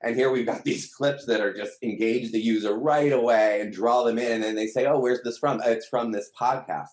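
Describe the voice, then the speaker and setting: A man's voice: mid-range voice, steady tone, moderate pace, darkish tone. A man explaining things conversationally as an interview guest. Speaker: company team member being interviewed. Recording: roomy, echoing sound.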